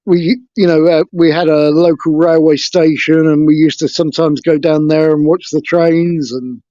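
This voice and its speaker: Man, higher voice, dull call audio. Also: steady tone, moderate pace, mellow, dry recording. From England. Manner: frank, hesitant recounting of his life. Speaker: interview guest. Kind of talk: casual interview talk.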